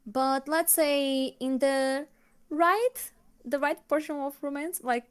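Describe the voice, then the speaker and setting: Halting woman, Brazilian Portuguese accent. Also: sits high. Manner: halting, searches for words, many restarts. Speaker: guest, K-pop cover singer. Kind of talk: casual interview chat.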